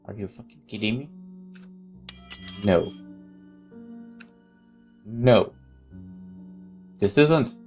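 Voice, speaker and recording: A man's voice: lowish voice, drawn-out words, pauses often, soft background music. A man hosting a let's play, reading game text aloud expressively and adding casual reactions.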